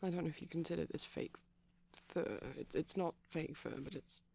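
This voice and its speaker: Male, shy gentle boyfriend character, ASMR rambling, breathy and husky, gravelly fry, frequent whispering, hesitant delivery. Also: fairly high pitch, steady tone, normal speed. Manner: hushed, often dropping into whispers, gentle and hesitant. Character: shy, gentle pastel vampire boyfriend showing off plush toys. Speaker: ASMR roleplay performer.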